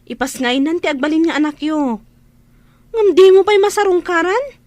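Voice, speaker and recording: An actress with an Ilocano accent playing a principled younger sister with a pointed, reproachful manner in a radio drama. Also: female voice, very high-pitched, animated, normal speed. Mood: reproachful, pleading. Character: principled, outspoken younger sister scolding her elder brother.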